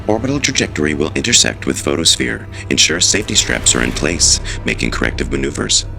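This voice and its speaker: Man as a very low, detached ship computer. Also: sing-song, vocal fry, over music, heavily acted. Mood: impassive. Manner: formal, detached, measured. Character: calm, impassive spaceship computer giving status reports. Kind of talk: dramatic visual novel character performance.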